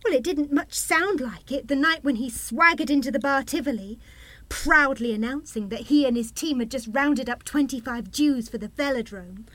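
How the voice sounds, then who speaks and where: A bitter young mum in a radio drama, high voice, cutting and indignant, super close dry sound. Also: female voice, normal speed, fairly bright tone, no room sound, rough recording. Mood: indignant, accusing. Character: defiant, bitter young French mother, fiercely protective. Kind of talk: radio play acting.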